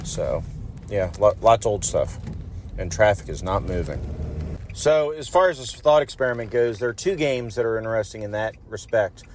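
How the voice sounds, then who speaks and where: A man leaving a casual, rambling voicemail from a car, halting and often repeating words as he goes. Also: lowish voice, animated. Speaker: podcast voicemail caller. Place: in a car in traffic. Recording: noisy voicemail from inside a car. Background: car and traffic noise.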